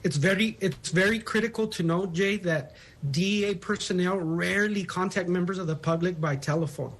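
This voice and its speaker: High-pitched male spokesman in a news interview. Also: normal speed, fairly bright tone. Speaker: public information officer, interview guest. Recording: phone interview.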